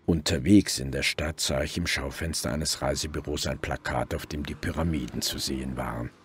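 An actor playing a weary, gruff middle-aged male detective who narrates his own story in a radio crime drama. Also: extremely low pitch, steady tone, normal speed, slight background noise. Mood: brooding.

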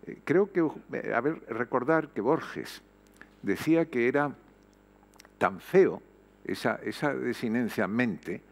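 A man's voice: mid-range voice, lively intonation, slow, gravelly. An older man speaking as a panel guest on an auditorium stage, reflective and emphatic, with frequent hesitations. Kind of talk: panel discussion. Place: auditorium stage before an audience. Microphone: headset mic.

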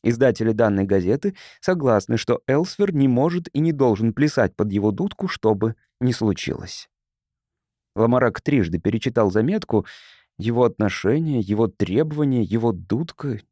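A man narrates science fiction as an audiobook. His mid-range voice moves through lively, varied intonation, and the sound is dry, with hardly any room to it. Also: medium pitch, moderate pace, no room sound.

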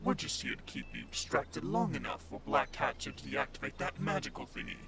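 A man voicing a menacing, taunting monstrous alien villain with a gravelly growl in a superhero audio drama. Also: huge pitch swings, a little fast, sharp tone, full character voice. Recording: rumbling noise behind.

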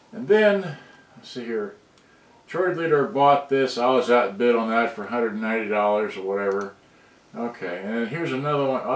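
A man in his sixties giving a casual, rambling show-and-tell, muttering to himself distractedly and trailing off. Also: medium pitch. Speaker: hobbyist video maker. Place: indoors in a cluttered home room. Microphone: camera mic at a distance. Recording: roomy, distant from the microphone.

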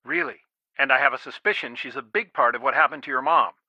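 A man's voice: mid-range voice, animated, quick pace, gravelly, sharp tone, dry recording, dull audio, full character voice. A man voicing a stern, worried middle-aged father and lawyer in a video game, earnest, firm and protective. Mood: guarded, grave. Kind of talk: video game voice acting, one side of phone conversations.

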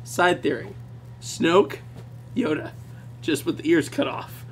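A man co-hosting a podcast in casual chat. He is excitable and laughs often. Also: a little high, slow, slight background noise. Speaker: podcast co-host.

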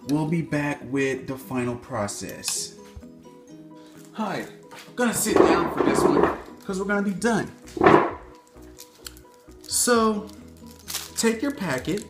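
A young man hosting a mock-serious home cooking video, playing the expert and joking with his viewers while he moves around the stove. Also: on the high side. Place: home kitchen, indoors. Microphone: phone camera on a stand, several feet away. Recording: echoey kitchen.

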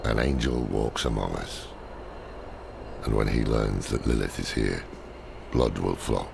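An actor playing a gruff, weary, cynical older man in a dramatic video game. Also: background noise, exaggerated voice. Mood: grim. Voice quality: deep, gravelly. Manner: slow, grave, world-weary. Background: game music.

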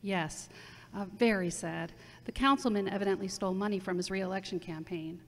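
A woman's voice: medium pitch, close to an everyday voice. A woman playing a solemn, composed city mayor speaking diplomatically in a radio interview, in an amateur audio drama. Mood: solemn, diplomatic. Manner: measured, diplomatic. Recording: reverberant hall-like sound.

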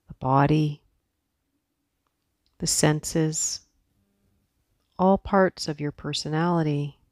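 A woman teaching yoga guides a meditation softly and soothingly, leaving long pauses between her instructions. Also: low-pitched, dry recording, clean studio sound.

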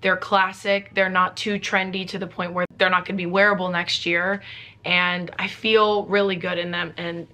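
A young woman vlogging from a living room, talking casually to the camera, with a low voice while she is sick. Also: level tone, sharp tone. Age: young adult. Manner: chatty, upbeat, lists items quickly. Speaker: beauty vlogger. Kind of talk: casual sponsored vlog talk. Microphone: camera facing her, mid-shot.

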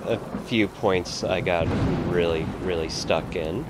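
A man giving casual let's-play commentary while gaming, laughing often and filling pauses with "uh" and "um". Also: low-pitched, heavy background noise. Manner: slow, laughing, filler-heavy. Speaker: gamer. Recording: loud game rain and thunder behind voice.

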